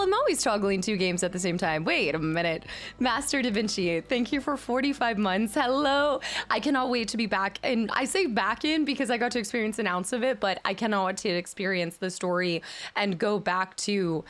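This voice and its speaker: A young adult woman streaming, chatting casually with her viewers and reading their names and gifts aloud. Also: mid-range voice. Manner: animated, chatty, reads chat names aloud. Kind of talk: casual chat with live chat.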